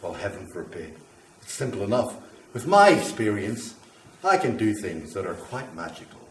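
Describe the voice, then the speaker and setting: A man's voice: lowish voice, big pitch swings, normal speed. An actor playing a volatile, boastful yet lonely man who believes he is fire, in a rambling theatrical monologue that swings between grandiose and apologetic. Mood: boastful, musing. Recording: echoey room, distant mic, faint noise.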